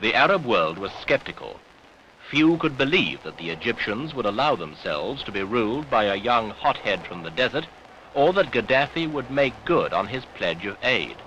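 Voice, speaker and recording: A man's voice: medium pitch, sing-song, normal speed, sharp tone, dull audio, slight background noise. A British man reading scripted newsreel narration with pointed, dramatic phrasing on an old 1970s soundtrack.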